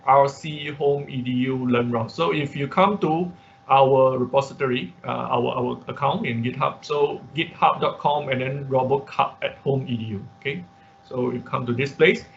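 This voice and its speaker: A man who speaks English as a second language teaches an online class step by step, haltingly, repeating words and checking with okay and right. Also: mid-range voice, vocal fry, fairly bright tone, a little hiss or hum. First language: not English. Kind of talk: live online tutorial, explaining step by step. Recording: dull, lo-fi online class audio.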